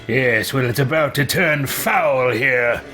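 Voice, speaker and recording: A man's voice: medium pitch, takes it slowly, sharp tone, faint music behind. A man voicing a brash, impatient fantasy Inquisitor in a roleplayed video game. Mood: flippant. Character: brash, impatient male Inquisitor with loud outbursts.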